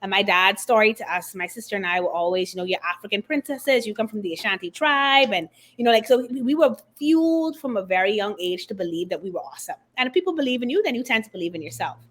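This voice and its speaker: A middle-aged woman from the Bahamas speaks as an interview guest, her voice sitting at an ordinary pitch for a woman. She talks in an animated, expressive way, building her story with warmth of conviction and references to faith. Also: quick pace, few pauses. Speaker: guest author and confidence coach. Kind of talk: conversational interview.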